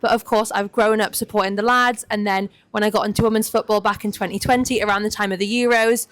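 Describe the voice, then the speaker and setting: Quick-talking woman, half geordie half southern accent, earnest and upbeat. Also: medium pitch, fairly even intonation, dry recording. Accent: mixed Geordie and southern English. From Newcastle, England. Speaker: guest. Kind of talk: casual chat.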